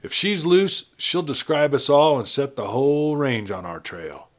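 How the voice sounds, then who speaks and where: A man's voice: fairly high pitch, big pitch swings, measured pace, voice pushed up. A man voicing a ruthless, blunt outlaw gang leader with a folksy cowboy drawl in a Western story. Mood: scheming, insistent.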